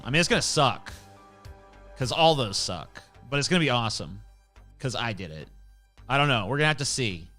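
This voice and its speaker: A man's voice: medium pitch, sharp tone. A man hosting a livestream, reading chat comments aloud in a rambling, profane, casual way. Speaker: livestream host.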